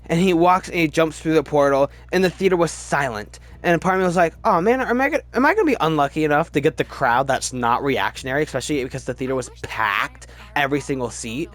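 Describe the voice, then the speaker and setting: A man's voice: a little high, fast talker, few pauses, a bit bright. A man giving casual, opinionated commentary as host of a film ranking video, with gushing fan enthusiasm and repeated words for emphasis.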